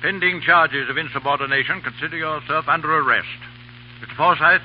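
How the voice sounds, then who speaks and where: An actor playing a stern, duty-bound British army colonel, clipped, formal and commanding, in 1930s radio style. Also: male voice, on the high side, a little fast, natural voice. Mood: stern, controlled. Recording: dull, noisy 1930s radio broadcast.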